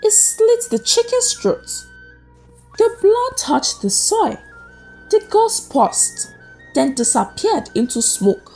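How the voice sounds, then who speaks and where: A woman narrating a scripted folktale as a storyteller, with suspenseful, deliberate phrasing. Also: very high-pitched, darkish tone, rough recording. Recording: music behind the voice. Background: background music.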